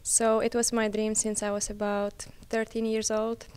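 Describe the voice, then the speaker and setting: A woman's voice: medium pitch, monotone, moderate pace, a little mellow, clean tone. A woman in her early twenties with a Czech accent, a college tennis player answering interview questions in non-native speech. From Czech Republic. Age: early 20s.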